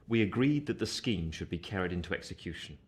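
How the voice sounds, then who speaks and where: An actor playing an earnest, reflective gentleman narrating his own story in measured, literary style for a radio play. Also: deep voice, animated. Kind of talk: scripted first-person radio play narration.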